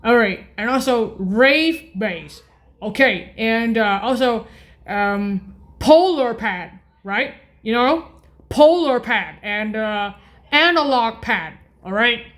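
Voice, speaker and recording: Very slow, high-voiced woman. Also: lively intonation, a bit bright. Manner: halting, repetitive, apologetic self-corrections. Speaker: music practice video host. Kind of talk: informal spoken tutorial naming keyboard voice effects.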